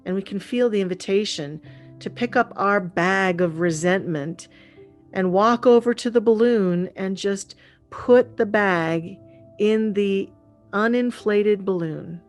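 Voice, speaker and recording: A woman leading a guided meditation in a calm, soothing, unhurried way with frequent pauses. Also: mid-range voice. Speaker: meditation leader. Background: gentle instrumental music.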